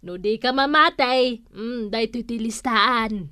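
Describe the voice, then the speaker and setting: A woman with an Ilocano Filipino accent playing an unhurried village storekeeper who is firm about debts, in a drawling radio comedy style. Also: fairly high pitch. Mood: wry, insistent. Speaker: radio drama actress.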